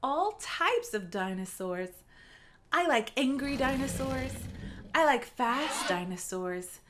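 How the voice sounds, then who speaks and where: A woman's voice: sits high, sing-song, takes it slowly, a bit bright, slight background noise. A woman reading aloud for young children in a playful, encouraging way, with short simple phrases and quiz-like prompts. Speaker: children's read-aloud narrator.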